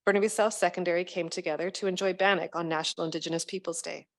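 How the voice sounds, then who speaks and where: A woman's voice: on the low side, steady tone, fast talker. A woman reads out community news as a school trustee. She follows a script with formal delivery. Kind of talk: scripted news roundup reading.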